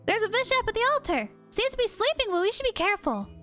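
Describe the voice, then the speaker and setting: A woman voicing a small, chatty, excitable fairy companion from a video game, exclamatory and curious. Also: quick pace, faint music behind, exaggerated voice. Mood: alarmed. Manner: squeaky, exclamatory, refers to self in third person. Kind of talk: video game dialogue read aloud.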